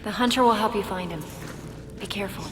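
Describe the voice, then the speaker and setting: A woman playing a spirited, sharp-tongued young woman, brave and caring, in a video game cutscene. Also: medium pitch, quick pace, close to an everyday voice. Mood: fond, focused. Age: young adult. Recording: long reverb, loud music behind.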